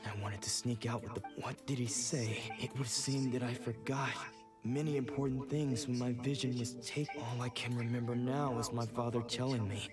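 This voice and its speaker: A woman voicing a stern, disillusioned sword fighter in a video game, speaking gravely and formally. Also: a bass voice, fairly even intonation, normal speed, over music. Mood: brooding.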